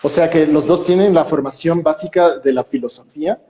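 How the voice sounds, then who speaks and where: A man's voice: on the high side, brisk, mellow. Priest guy interviewing, upbeat and friendly, sounds echoey like a big room. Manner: friendly, enthusiastic questioner. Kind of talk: conversational interview. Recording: echoey room with long reverb.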